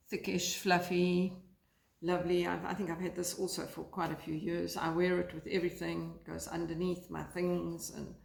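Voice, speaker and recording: A woman from South Africa chatting casually about her knitting by a fire, rambling and hesitant with many fillers, her voice croaky today. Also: lowish voice. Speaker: knitting vlogger. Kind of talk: casual chat to camera. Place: lounge by a fireplace, indoors. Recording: echoey room.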